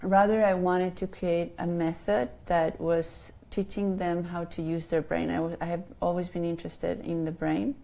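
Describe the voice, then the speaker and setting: Chilean woman in her fifties, life coach, dark mellow voice, hesitant interviewee. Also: on the low side, little pitch movement, moderate pace, creaky, few pauses. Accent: Chilean Spanish. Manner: hesitant, many ums and restarts. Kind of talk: interview answers.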